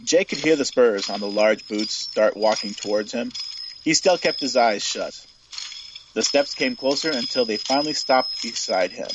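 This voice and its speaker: A man's voice: fairly low pitch, highs cut off, noisy background. A man reading scripted Western fiction aloud as the narrator of an audio story.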